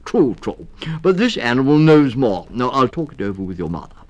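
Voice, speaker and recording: A man's voice: medium pitch, sing-song, brisk, pitched above the performer's own voice, lightly acted. A man voicing a kindly, hesitant toad in a storybook, repeating his words and rambling, on a 1960s story record. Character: Mister Toad, kindly hesitant old male toad adviser. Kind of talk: storybook character reading.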